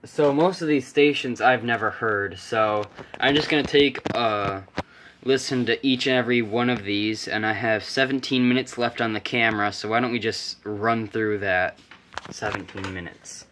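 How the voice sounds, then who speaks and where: Casual male vlogger, lowish voice, unscripted show-and-tell over clearly audible background noise and clicks. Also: normal speed. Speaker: amateur vlogger showing a vintage radio. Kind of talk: casual off-the-cuff demonstration.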